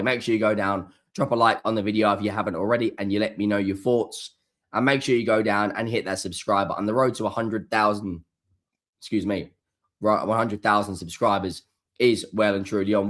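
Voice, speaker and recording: A fairly low-pitched man, hoarse and a bit rough because he is coming down with flu. He gives his solo post-match reaction to the camera, hammering points home and repeating words for stress. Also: a bit bright. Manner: emphatic, repeats key words. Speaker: football channel host.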